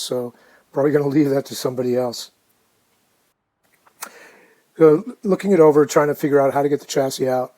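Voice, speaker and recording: A man casually narrating a hands-on restoration project, thinking aloud in an informal, unhurried way. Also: mid-range voice, warm tone, rough recording.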